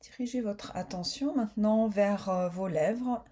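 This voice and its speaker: A woman yoga nidra teacher guiding a relaxation in a calm, soothing, unhurried way, with slow spoken instructions. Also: medium pitch.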